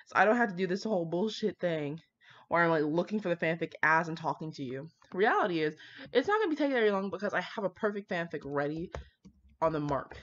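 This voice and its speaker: Young woman rambling casually, sweary and self-mocking, really up and down pitch, kinda dull muffled mic. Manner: irreverent, foul-mouthed, self-mocking. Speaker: host of a fan fiction reading channel. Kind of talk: casual rambling chat to viewers.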